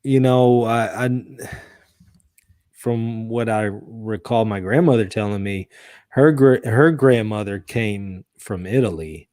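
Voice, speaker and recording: A man from the southern US chatting casually as the host of a discussion stream, quick to laugh. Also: on the low side, dark tone, no echo, clean studio sound. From southern US, Mississippi and Louisiana area. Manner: laughs easily. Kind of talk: casual chat.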